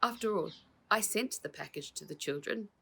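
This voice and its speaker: A woman's voice: medium pitch, expressive, normal speed, gravelly, a bit bright, slightly rough, voice pushed up, exaggerated voice. A woman voicing a loving, protective uncle in a storybook, emotional and insistent. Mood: disbelieving.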